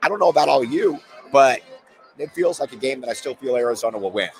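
A man hosting a casual sports podcast, hoarse from days of yelling, talking in a loose, rambling and enthusiastic way. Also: medium pitch, animated, fast, faint music behind, rough recording. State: losing his voice from yelling. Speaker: podcast host.

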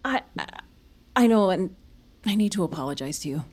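Troubled mother, lowish voice. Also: animated, darkish tone, breathy edge. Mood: halting, remorseful. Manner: halting, emotional. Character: troubled, exhausted mother, fragile and haunted. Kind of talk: audio drama acting.

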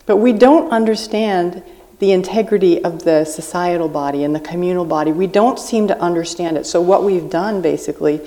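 A woman guest speaks at a live podcast taping on a festival stage. Her voice is low and mellow. She explains her point earnestly through an extended metaphor. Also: fairly quick. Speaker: guest writer.

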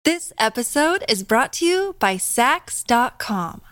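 A woman's voice: fairly high pitch, big pitch swings, takes it slowly, fairly bright tone, no room sound, studio quality. A woman announcer reading a scripted fashion advertisement.

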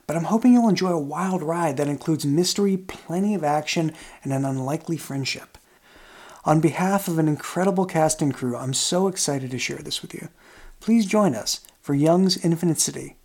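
Mid-pitched show creator reading an announcement. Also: a little mellow. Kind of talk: scripted promotional narration.

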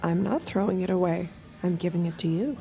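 An actress playing a watchful, manipulative escort in an intimate, measured audio drama. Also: female voice, lowish voice, creaky, warm tone, soft background music. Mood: calm. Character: cool, watchful, manipulative woman escort narrating her thoughts. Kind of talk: intimate scripted audio drama narration and dialogue.

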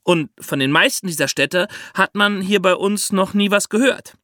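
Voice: mid-range voice, moderate pace, fairly bright tone, dry recording, clean studio sound. A podcast guest explaining things to young listeners with vivid comparisons and questions. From China.

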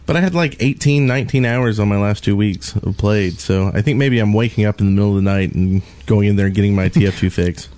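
A man's voice: on the low side, fast talker, hardly any pauses, mellow, dry recording. Casual man chatting on a podcast. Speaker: podcast co-host. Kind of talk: casual gaming podcast chat.

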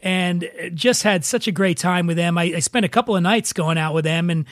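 Guy hosting a podcast over zoom, pretty high voice, rambly and jokey. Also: brisk, no echo, studio quality. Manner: loose, joking, rambling. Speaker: podcast host. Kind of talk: casual chat on a video call. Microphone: video call.

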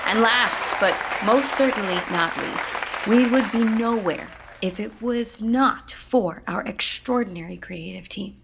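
An actress playing an enthusiastic producer introducing a creative team in an audio drama. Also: female voice, medium pitch, measured pace, gravelly, few pauses, a crowd in the background, background noise. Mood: gushing. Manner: gushing announcer tone. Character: upbeat young woman producer, gushing.

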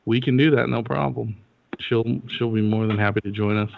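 A man's voice: medium pitch, fairly even intonation, moderate pace, vocal fry, slight background noise. A man co-hosting a podcast, trading crude, teasing banter. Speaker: co-host. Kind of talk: casual podcast chat. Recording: dry, slightly dull sound.

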